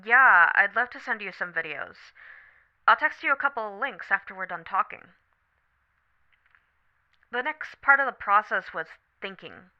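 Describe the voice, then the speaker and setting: A woman playing a supportive, candid best friend on a late-night call in an ASMR roleplay, talking casually, gently and thoughtfully. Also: mid-range voice, expressive, unhurried, pauses often, highs cut off, natural voice. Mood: reassuring. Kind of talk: scripted ASMR roleplay, one side of a phone conversation.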